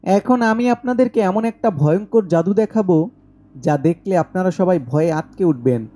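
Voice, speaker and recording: A man playing a dark-toned village magician showman. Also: high voice, moderate pace. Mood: showy, confident. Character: village street magician, showman addressing a crowd. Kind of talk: animated horror story dialogue.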